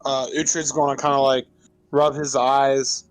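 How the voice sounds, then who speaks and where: A man's voice: mid-range voice, flat delivery, unhurried, music in the background. A man playing a tabletop roleplaying game, chatting casually and playfully as he describes his character.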